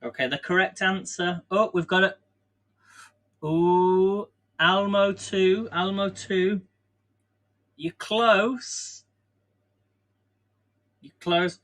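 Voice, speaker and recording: A rambling, good-humoured English man hosts a live stream from his kitchen, speaking in a slightly higher than usual voice for a man. He chats loosely between tasks, laughs at himself often and keeps things informal. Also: fairly even intonation, very slow, long pauses, fairly bright tone. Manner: chatty, rambling, self-deprecating, laughs often. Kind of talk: casual live-stream chat while baking. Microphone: camera on a stand.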